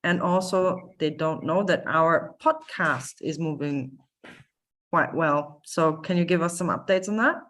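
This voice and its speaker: Woman, team member, slightly low voice, friendly, casual call. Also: measured pace, a bit bright. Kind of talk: casual online community call.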